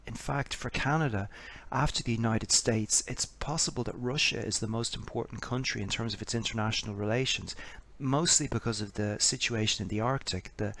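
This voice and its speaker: A man giving scripted political commentary in a measured, explanatory way, posing a string of rhetorical questions. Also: fairly low pitch, fairly bright tone.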